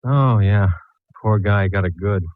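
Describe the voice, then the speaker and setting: An actor playing a dry, laconic, teasing homicide inspector in a 1940s radio detective drama. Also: male voice, on the low side, a little slow, no echo, close to an everyday voice. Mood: dry, businesslike. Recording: muffled, thin vintage radio recording.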